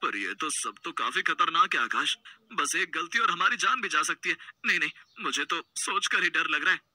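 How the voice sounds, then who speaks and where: Husky, animated village misfit played by a man. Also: on the high side, moderate pace, no room sound, full character voice. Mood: fearful. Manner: dramatic, exclaiming. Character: young village misfits, earnest, excitable plotters.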